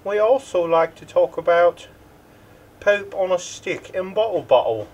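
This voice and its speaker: A young man clowning as a mock announcer for a podcast trailer, recorded in a home living room. His voice runs high and bounces all over the place. He drawls slowly through the lines. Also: animated, a little mellow, poor audio. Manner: goofy, mock-announcer delivery. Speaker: podcast co-host. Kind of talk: joking trailer banter.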